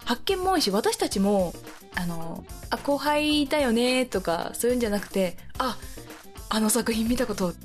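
A woman's voice: on the high side, animated, moderate pace, soft background music. A woman guest talking casually and conversationally on a radio show.

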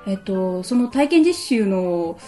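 A woman's voice: mid-range voice, sing-song, takes it slowly, dark tone. A woman chatting casually as the co-host of a radio show. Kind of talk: casual chat. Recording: light music behind the voice. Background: background music.

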